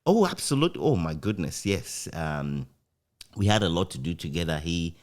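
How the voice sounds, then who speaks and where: A man with a Ghanaian accent speaks in a fairly low voice that rises and falls a great deal. He talks casually, as a guest reminiscing in an interview, with an animated storytelling manner. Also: normal speed. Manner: animated, chuckles often while recounting anecdotes. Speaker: interview guest, former radio broadcaster.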